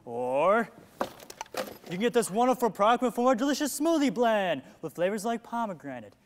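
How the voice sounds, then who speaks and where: A man's voice: sits high, very animated, moderate pace. An actor playing a relentlessly upbeat, pushy salesman of the future in a comic stage play, full of glib patter. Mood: smooth selling. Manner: glib sales patter, talks over objections. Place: theater stage at a play festival. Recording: echoey stage hall, noticeable hiss.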